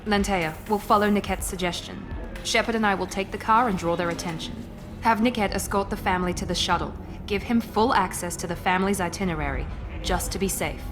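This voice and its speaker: A woman plays a cool, self-assured female operative in a sci-fi game. Her delivery is crisp and guarded, in a medium pitch, with a long reverb and music behind it. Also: a little fast, close to an everyday voice. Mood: businesslike. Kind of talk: video game voice acting.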